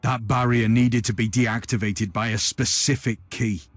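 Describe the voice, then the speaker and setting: A man's voice: medium pitch, fairly even intonation, normal speed, background noise, natural voice. A man playing a stern, self-assured inquisitor in a video game, speaking in a curt, formal, imperious way. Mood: pensive. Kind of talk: dramatic game cutscene acting.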